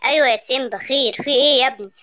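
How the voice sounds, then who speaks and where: A woman's voice: high-pitched, pushed and bright, no room sound, clean studio sound. A woman voicing a harried, complaining father animal in a children's story, with an Egyptian accent. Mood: grumbling. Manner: hurried, complaining. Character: harried, grumbling animal father, short of money.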